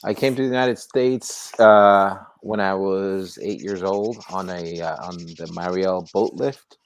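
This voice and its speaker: Low-voiced middle-aged man, hesitant. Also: fairly even intonation, a little slow, clear, resonant tone, no room sound. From Cuba. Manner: hesitant, many fillers. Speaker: panel guest illustrator. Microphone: video call with earphones.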